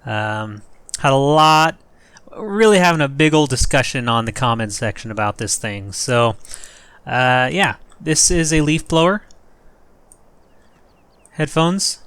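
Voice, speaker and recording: A young man hosting a farming game let's play, slightly hoarse and rough-voiced, rambling and joking at himself as he thinks aloud. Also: medium pitch, lively intonation, measured pace, a bit bright, dry recording. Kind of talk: casual gameplay commentary.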